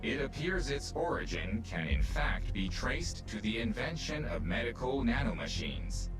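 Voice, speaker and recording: A man playing a grave, analytical artificial intelligence in a video game cutscene, explaining things formally and deliberately. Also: mid-range voice, sing-song. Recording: distant voice over game music.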